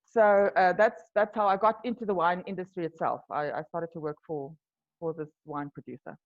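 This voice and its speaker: A woman's voice: lowish voice, fairly even intonation, moderate pace, lo-fi sound. A woman from South Africa, an Afrikaans native speaker with a South African accent, answering interview questions in a casual, hesitant way with many ums and restarts. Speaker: wine tour company founder, guest.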